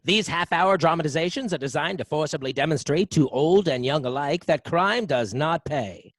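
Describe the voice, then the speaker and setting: An actor playing an earnest vintage radio sponsor announcer, reading a formal, emphatic sales pitch. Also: male voice, animated, moderate pace, no echo. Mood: earnest, selling. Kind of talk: old-time radio advertising read.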